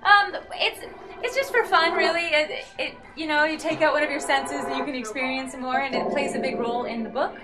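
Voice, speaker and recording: A woman's voice: high voice, brisk, few pauses. A woman in casual conversation as an event helper in a bookstore, talking to guests. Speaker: event helper handing out blindfolds. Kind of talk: casual chat. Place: bookstore, indoors. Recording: distant camera mic, loud music. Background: loud music.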